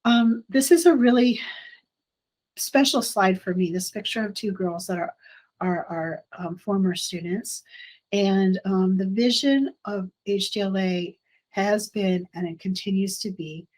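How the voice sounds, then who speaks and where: Husky-voiced woman presenting slides, halting. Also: medium pitch, darkish tone, rough recording. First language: English. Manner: halting, many ums and restarts. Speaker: school administrator. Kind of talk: presenting slides at a parent orientation.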